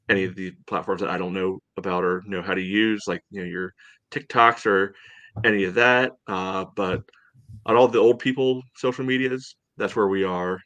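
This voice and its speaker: Low-voiced man hosting, halting and meandering. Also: a bit bright, no room sound. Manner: halting, meandering delivery with frequent "you know". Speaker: podcast host. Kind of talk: casual sports podcast chat.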